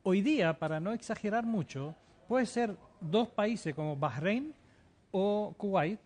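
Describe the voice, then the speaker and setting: A man's voice: sits high, lively intonation, unhurried, a little mellow. A man giving a TV interview, answering fervently and insistently, often hesitating and repeating words. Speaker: interview guest living in the Emirates.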